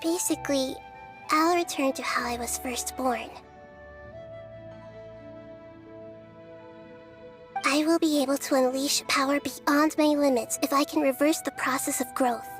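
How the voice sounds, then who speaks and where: A woman voicing a small, gentle and wise young nature deity in an anime video game, composed, thoughtful and selfless. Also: high-pitched, fairly even intonation, normal speed, close to an everyday voice. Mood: serene acceptance. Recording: music behind the voice.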